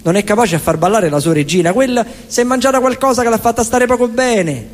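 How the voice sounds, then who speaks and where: A man's voice: extremely high pitch, lively intonation, fast, long reverb tail, pitched above the performer's own voice. A man playing a proud, defensive king from a comic stage fable, talking in a grandiose, indignant, folksy way.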